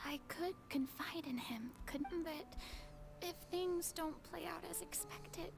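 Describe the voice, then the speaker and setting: A high, lonely water goddess. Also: female voice, takes it slowly, vocal fry, heavily acted. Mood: torn, hushed. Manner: grand and theatrical in public, hushed and anguished alone. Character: theatrical water goddess, regal yet secretly lonely and weary. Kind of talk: game voice acting. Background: game music.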